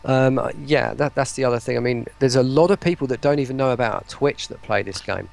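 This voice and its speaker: A British man with a gravelly voice chatting casually as a guest on a livestream, rambling and self-deprecating. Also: medium pitch, a little fast. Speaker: interview guest, voice pack maker. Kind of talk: casual livestream interview chat.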